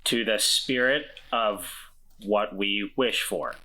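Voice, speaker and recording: A man's voice: fairly low pitch, slow pace, bright, forceful tone, low-quality recording. A man playing a tabletop role-playing game in character, earnest and a bit hesitant, with wry asides. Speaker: player.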